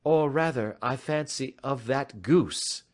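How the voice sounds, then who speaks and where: A man voicing a sharp, self-assured gentleman detective in an audiobook, speaking in a crisp, measured, gently ironic way. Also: medium pitch, voice pushed up, close to an everyday voice. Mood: confident. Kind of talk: audiobook reading.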